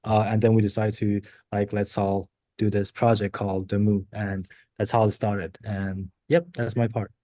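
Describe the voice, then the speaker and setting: Flat, mellow man from China. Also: on the low side, moderate pace, no echo. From mainland China. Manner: loose, filler-heavy, informal. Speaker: core contributor. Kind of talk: podcast self-introduction.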